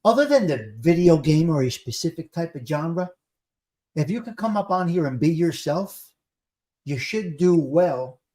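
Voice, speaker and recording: A man's voice: a little high, lively intonation, a little slow, warm tone. A man with a New Jersey accent chatting casually as a livestream host, plain-spoken and repeating phrases for emphasis. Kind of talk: casual chat.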